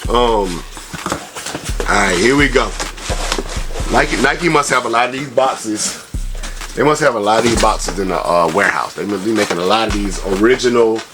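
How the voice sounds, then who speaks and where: A man's voice: on the low side, expressive, normal speed, pauses often, background noise. A man hosting a casual sneaker review vlog, talking in a slangy, conversational way full of tag phrases. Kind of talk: casual product review vlog with shout-outs.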